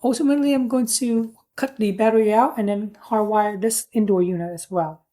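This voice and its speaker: A woman's voice: medium pitch, fairly even intonation, fairly quick, warm tone. A woman giving a casual hands-on product review, explaining things in an informal, plain-spoken way.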